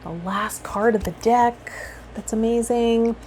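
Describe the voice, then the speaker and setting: A woman vlogging casually and reflectively to her viewers, rambling a little and pausing mid-thought, with some guided meditation cues. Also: a little high, darkish tone, slight background noise. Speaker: host of a tarot vlog and healer.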